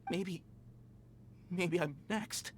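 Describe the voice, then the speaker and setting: A man's voice: high voice, very slow, a little hiss or hum. A man playing an anxious, shaken mine worker in a video game, hesitant and stammering. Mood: frightened.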